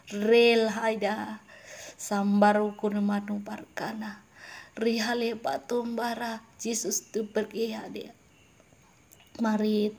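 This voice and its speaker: A woman's voice: medium pitch, gravelly, darkish tone. A woman delivering a solemn prophetic message in God's voice, mixing in speaking in tongues and whispered passages. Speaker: prophetic preacher.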